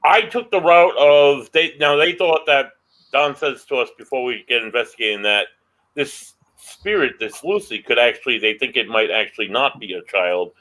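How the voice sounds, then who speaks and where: A man co-hosting a paranormal podcast, recounting an investigation earnestly with halting restarts. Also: medium pitch, bright, forceful tone, rough recording. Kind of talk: casual chat.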